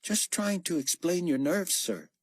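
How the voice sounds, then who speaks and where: A narrator puts on a young male tech operative with a high, husky voice that bounces around a lot. He sounds quick and dry, like someone running a covert broadcast, and it is recorded close and dry for an audiobook. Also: lively intonation, dry recording. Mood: wry, brisk.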